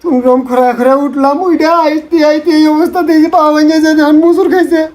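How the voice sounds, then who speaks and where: Woman acting a high-voiced whining Bangladeshi village swindler. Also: brisk, natural voice. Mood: tearful whining. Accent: rural Bangladeshi. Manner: pleading, melodramatic. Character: sly village swindler man, whining and wheedling. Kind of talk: comic skit acting.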